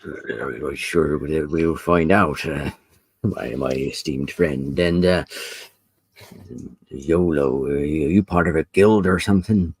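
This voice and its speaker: A man voicing a courteous goblin sky pirate captain with a gruff, husky voice and a sailor's turns of speech, in a tabletop game. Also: rumbling low, takes it slowly, a little mellow, dry recording, exaggerated voice. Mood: amused.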